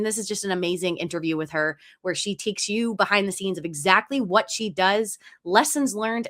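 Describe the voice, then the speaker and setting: Bright, upbeat woman podcast host. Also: medium pitch. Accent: Pennsylvania. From Delaware County, Pennsylvania, USA. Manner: upbeat and chatty, with frequent fillers. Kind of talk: conversational podcast hosting.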